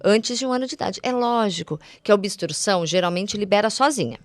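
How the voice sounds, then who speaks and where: A woman's voice: mid-range voice, expressive, brisk. A Brazilian woman, a guest eye doctor on a podcast, explaining conditions conversationally, step by step in everyday words.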